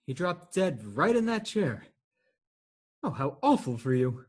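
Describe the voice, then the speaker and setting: An actor playing a frantic, appalled nephew in a comedy radio play, flustered and stammering. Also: male voice, a little high, big pitch swings, a little slow, slightly rough, exaggerated voice. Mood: strained patience. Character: frantic, appalled nephew of two elderly aunts. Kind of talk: stage comedy radio theatre acting.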